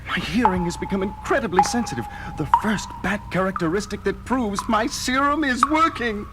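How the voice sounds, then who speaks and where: An actor doing a very high, agitated man. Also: normal speed, very noisy, natural voice. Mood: agitated, amazed. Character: melodramatic, highly agitated man in peril. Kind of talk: superhero audio drama acting. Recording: loud dramatic music underneath.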